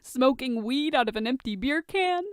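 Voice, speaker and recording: A playful billionaire party boy, acted out by a woman reading a story, full of sarcasm and teasing. The voice is clean and clear, recorded dry and close. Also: high-pitched, expressive, normal speed, dry recording, voice pushed up. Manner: sarcastic, goading. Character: playful, teasing, hard-partying billionaire man, smirking wisecracker.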